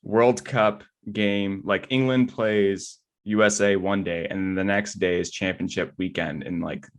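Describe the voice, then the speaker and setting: A man's voice: sits low, steady tone, measured pace. A man co-hosting a podcast, chatting casually about sports. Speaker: podcast co-host.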